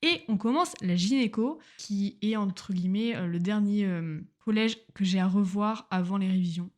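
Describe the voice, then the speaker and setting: A woman's voice: medium pitch, normal speed. A young woman chats into a home microphone in a loose, diary-style podcast. She rambles from one thing to the next, slangy and self-mocking, with a casual everyday tone. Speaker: podcaster, medical student. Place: at home on a couch.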